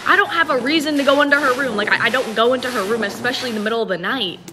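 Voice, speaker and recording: A woman's voice: a little high, racing, background noise. A young adult woman giving a casual, dramatic storytime and acting out the dialogue.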